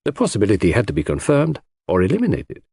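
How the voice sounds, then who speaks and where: An elderly Belgian gentleman detective acted out by a male audiobook reader, enigmatic and self-assured. His speech is precise and courtly, rising and falling widely in pitch. The voice is lifted and slightly husky, recorded very dry. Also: wildly swooping pitch, quick pace, dry recording, full character voice. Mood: explanatory. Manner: precise, courtly phrasing sprinkled with French address. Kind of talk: audiobook character voice.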